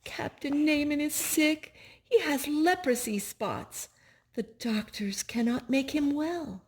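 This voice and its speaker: A woman's voice: high voice, slow, pitched above the performer's own voice. A woman voicing a worried, sorrowful captain's wife in a children's storybook, in a plaintive way. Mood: sad, worried.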